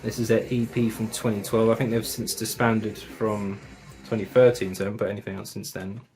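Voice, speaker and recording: A man's voice: on the low side, flat delivery, fairly quick, over music, poor audio. A man casually reviewing metal records and tapes, enthusiastic and chatty, with slang and swearing.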